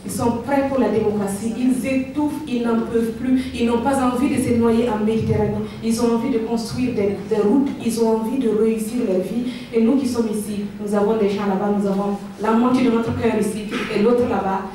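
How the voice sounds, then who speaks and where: A woman's voice: fairly high pitch, steady tone, fairly quick, few pauses, slight background noise. A woman from Burkina Faso who organized the event, giving an earnest rally speech and then answering questions, often restarting her sentences. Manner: earnest and rousing, with frequent restarts. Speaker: event organizer and local councillor. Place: large indoor hall at a gathering. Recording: echoey hall, distant mic, loud crowd. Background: loud crowd.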